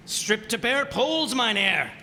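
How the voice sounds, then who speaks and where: Radio play sailor, man acting: alarmed, urgent pleading, swooping forceful voice. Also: very high-pitched, big pitch swings, unhurried, full character voice. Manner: urgent, shouted archaic speech. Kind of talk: old-time radio drama acting. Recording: hall reverb, faint background noise.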